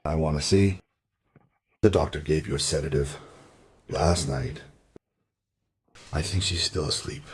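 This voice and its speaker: Audio crime play: subdued grieving dad, deep register, rough husky tone, halting and courteous. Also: male voice, full character voice. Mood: guarded, subdued. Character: grieving, well-off father, subdued and polite. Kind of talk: crime radio play acting.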